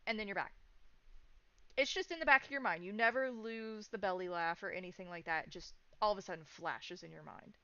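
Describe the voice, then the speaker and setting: A woman running a horror tabletop game as game master, narrating in halting, descriptive phrases. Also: mid-range voice, bright, forceful tone, dry recording. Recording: dull, lo-fi sound.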